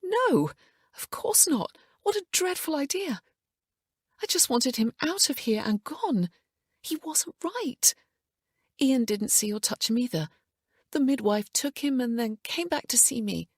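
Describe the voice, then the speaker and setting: A woman's voice: mid-range voice, moderate pace, gravelly, darkish tone, breathy edge, dry recording, studio quality, pitched above the performer's own voice. A woman voicing a fragile, grieving young mother who turns evasive and agitated under questioning. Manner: tense and evasive, voice rising when pressed.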